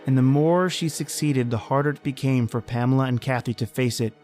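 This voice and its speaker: A man playing a sinister, all-knowing narrator of dark tales in an old-time radio horror drama. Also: medium pitch, fairly quick, faint music behind, lightly acted. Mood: grave storytelling.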